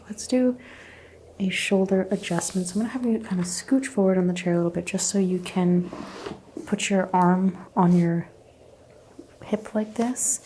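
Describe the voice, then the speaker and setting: A mellow-voiced woman speaks softly, often dropping to a whisper, as an ASMR chiropractor. She gives gentle, reassuring instructions in short phrases, with some ambient noise behind her. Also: medium pitch. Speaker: ASMR host playing a chiropractor. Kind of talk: soft-spoken ASMR roleplay, often whispered.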